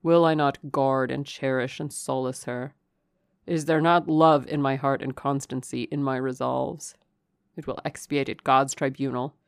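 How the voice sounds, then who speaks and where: A woman voicing a passionate, possessive gentleman lover in an audiobook, speaking in an urgent, imperious, declamatory way. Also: very deep voice, flat delivery, measured pace, clean tone, no room sound, studio quality, pitched below the performer's own voice. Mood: defiant. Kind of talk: dramatic audiobook character reading.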